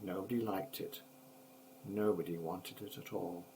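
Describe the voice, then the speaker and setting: An older man, a grandfather, reading children's poetry aloud in an expressive, rhythmic, measured storybook manner. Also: sits low, vocal fry, slight background noise.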